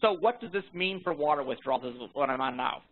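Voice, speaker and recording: Male presenter, higher-pitched, halting and repetitive, explaining technical work informally over a tinny phone conference line. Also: expressive. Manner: halting, repeats words and rephrases often. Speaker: research presenter. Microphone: phone conference call. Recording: thin, band-limited phone conference line.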